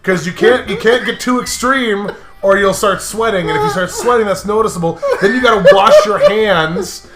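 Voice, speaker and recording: Male co-host for a comedy playthrough, very lively intonation, rambling and crude, echoey room. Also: high-pitched, moderate pace, heavy background noise. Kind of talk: casual joking banter over a visual novel.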